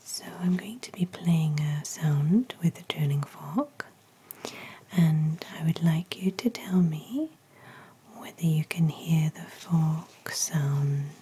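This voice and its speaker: Soft whispering woman giving gentle exam instructions. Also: low-pitched, slow, breathy edge. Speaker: ASMR artist playing an ear examiner. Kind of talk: ASMR medical exam roleplay. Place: small dim room indoors. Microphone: close-up microphone.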